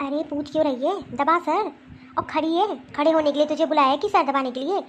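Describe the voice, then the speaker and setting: A woman's voice: sits high, a little fast, slight background noise, close to an everyday voice. A woman playing a bossy scheming mother-in-law. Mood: scolding. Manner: scolding, commanding, grumbling to herself. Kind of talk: family skit acting.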